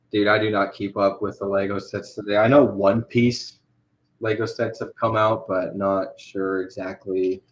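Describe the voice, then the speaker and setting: A young man chatting casually on a livestream while he animates. He rambles, with lots of fillers and restarts. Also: on the low side.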